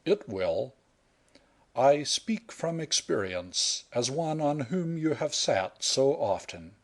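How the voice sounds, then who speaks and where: A man's voice: medium pitch, sing-song, voice pushed up, heavily acted. A man reading aloud and voicing an unruffled young man who teases his sweetheart with dry humour. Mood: teasing. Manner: unhurried, dry, wry.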